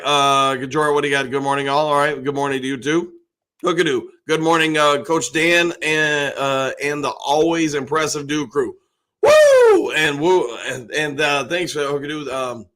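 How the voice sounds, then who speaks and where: An older man with a hoarse voice, hosting a casual live stream and rambling along with plenty of fillers. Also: mid-range voice, a bit bright. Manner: rambling, folksy, lots of fillers. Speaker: host.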